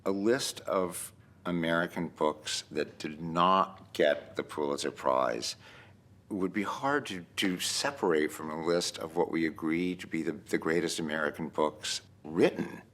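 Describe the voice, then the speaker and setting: Really deep voiced guy, author talking in a documentary interview. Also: unhurried, a bit bright. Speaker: prize-winning author interviewee.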